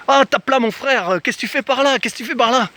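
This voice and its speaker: A man acts out a brash, friendly guy with a French housing-project accent in a vlog anecdote. The voice jumps high and bright, and the delivery is slangy, exclamatory and matey. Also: fast. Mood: amazed, matey. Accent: French suburban housing-project.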